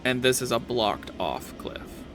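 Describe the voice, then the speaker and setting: A man's voice: medium pitch, animated, a little slow, a bit bright, faint music behind. A man working as game master, improvising narration and rulings for a tabletop role-playing game.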